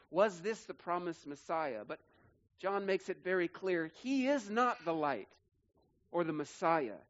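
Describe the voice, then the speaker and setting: A man's voice: high voice, highs cut off. Man in his forties preaching a sermon. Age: 40s. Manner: earnest preaching, building emphatic lists. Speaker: pastor.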